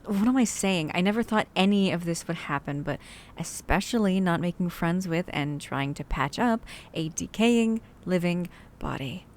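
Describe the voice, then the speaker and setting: A low-pitched female survivor of the zombie apocalypse, acted by a woman in an ASMR roleplay. She is cautious and caring, rambling conversationally to her companion with hesitant asides. A faint rumble sits behind the voice. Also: lively intonation, normal speed, hardly any pauses, lightly acted. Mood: wary, uneasy. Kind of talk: ASMR roleplay audio drama, addressing the listener.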